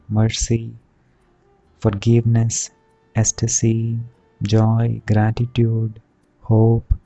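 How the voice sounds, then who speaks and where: A man guides a meditation at a very relaxed pace. His voice is mellow and soothing, set in short, deliberate phrases with pauses between them. The recording is a little dull, with music playing behind him. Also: mid-range voice, vocal fry. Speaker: yoga and meditation teacher. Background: background music.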